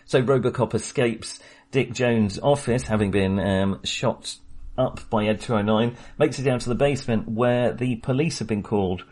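A man's voice: mid-range voice, moderate pace, darkish tone. A man co-hosting a casual film podcast, talking with many fillers and small laughs. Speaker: podcast co-host.

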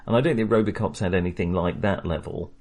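A man's voice: deep voice, quick pace, darkish tone. A man co-hosting a casual film podcast, talking with many fillers and restarts. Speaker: podcast co-host.

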